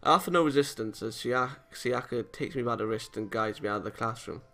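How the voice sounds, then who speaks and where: A man's voice: mid-range voice, fast talker, gravelly, fairly bright tone. A man hosting a let's play, reading a visual novel aloud with casual comments and often stumbling over lines. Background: game music.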